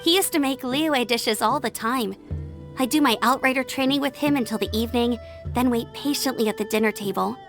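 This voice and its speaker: An actress playing a cheerful young woman scout, warm and nostalgic, in a video game cutscene. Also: on the high side, brisk, natural voice. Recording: game music under the voice.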